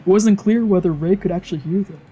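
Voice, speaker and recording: A woman streamer reading a visual novel aloud with casual, excitable, sweary reactions. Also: fairly low pitch, fairly quick, slightly rough, highs cut off, faint music behind. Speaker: let's play streamer.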